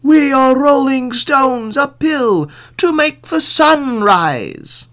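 A man's voice: very high voice, lively intonation, drawn-out words, a bit bright, pitched above the performer's own voice, exaggerated voice. A man voicing a simple-minded old village man in a storybook, naive and wondering in manner. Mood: earnest.

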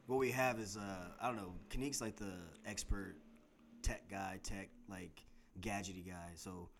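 A man's voice: low-pitched, fairly even intonation, normal speed, slight background noise. A young man from Tennessee co-hosting a casual podcast, rambling and laid-back, laughing often. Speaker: podcast co-host. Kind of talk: casual chat. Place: apartment in the Bronx. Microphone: handheld recorder mics.

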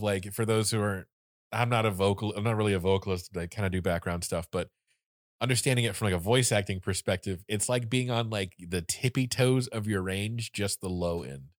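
Deep voiced guy cohosting a chat, animated, laughs a lot, dry close mic. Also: fairly quick, no echo. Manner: animated, sings snippets of songs, laughs often. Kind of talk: casual chat.

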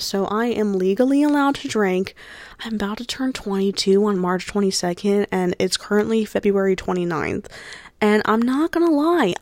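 A woman's voice: mid-range voice, no room sound. A woman in her early twenties from New Jersey, hosting her own podcast in a rambling, chatty way full of fillers and restarts. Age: 20s. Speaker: podcast host. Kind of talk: casual solo podcast chat.

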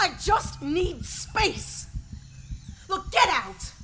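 A woman's voice: very high-pitched, big pitch swings, drawn-out words, pushed and bright, heavily acted. A woman playing a fiery, scornful space adventurer in an audio drama, cutting and emphatic. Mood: exasperated.

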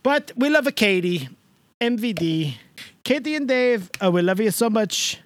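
A man's voice: high-pitched, expressive, normal speed, slight background noise. Gushing Mister Del Rossi, as a man puts him on. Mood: rambling. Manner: slips a stray "a" before words, broken grammar, over-the-top gushing. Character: sentimental, rambling older male host character. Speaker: podcast host. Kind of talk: comedic in-character podcast bit.

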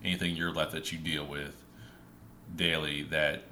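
A man's voice: rumbling low, little pitch movement, pushed and bright, slight background noise. A man co-hosting a casual podcast conversation, talking thoughtfully with frequent fillers and restarts. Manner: thoughtful, halting, many fillers. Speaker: podcast co-host.

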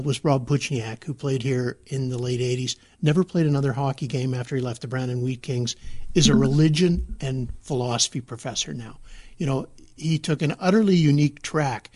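A man's voice: mid-range voice, normal speed, darkish tone. Male sports reporter telling stories. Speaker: newspaper sports reporter, guest. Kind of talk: casual podcast interview.

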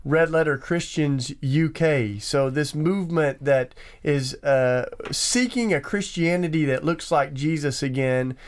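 A man's voice: a little high, a little slow, few pauses. A man co-hosting a podcast from a radio studio, speaking enthusiastically with many filler words. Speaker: podcast co-host.

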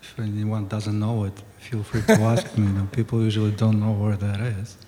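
A man speaks in a low, flat, mellow voice. He keeps his answers short and plain. A reverberant room and faint ambience sit behind him. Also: normal speed, a little hiss or hum. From Belarus.

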